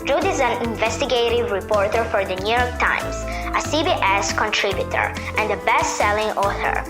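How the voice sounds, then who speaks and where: A woman's voice: fairly high pitch, moderate pace. A female speaker from Croatia reading scripted podcast credits and announcements. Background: music under the voice.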